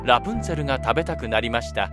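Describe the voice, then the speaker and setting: A man's voice: mid-range voice, animated, rapid-fire, loud music behind the voice. A man narrating a children's fairy tale from a script, as the storybook narrator.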